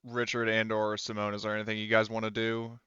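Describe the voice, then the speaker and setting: A man's voice: on the low side, completely flat delivery, quick pace, pushed and bright, clear, resonant tone, no echo, lo-fi sound. A man running a tabletop game as game master, talking casually and informally.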